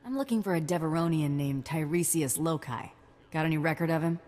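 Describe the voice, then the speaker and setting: Woman as a low-voiced tough bounty hunter. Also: a little fast, a little mellow, big-room sound, lightly acted. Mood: cool, businesslike. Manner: curt, wry, no-nonsense. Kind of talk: video game voice acting.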